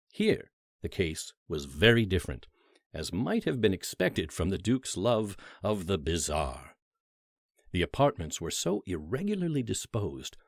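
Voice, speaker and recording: A man narrating a classic horror story as a dramatic literary audiobook, with theatrical, deliberate phrasing and emphatic pauses. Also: on the low side, dry recording, clean studio sound.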